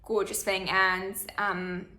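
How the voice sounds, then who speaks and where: A woman's voice: mid-range voice, level tone, slow pace, bright, forceful tone, echoey room. A woman, chatting through a Q&A for her channel with plenty of fillers. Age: 20s. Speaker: vlogger. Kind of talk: casual Q&A chat.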